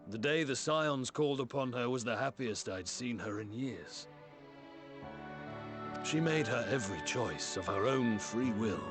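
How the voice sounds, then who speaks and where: Middle-aged scholar dad for game cutscene male actor, medium voice, steady, kindly, light music bed. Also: medium pitch, unhurried, natural voice. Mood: consoling. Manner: plainspoken, reassuring. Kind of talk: video game cutscene acting. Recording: faint music behind the voice.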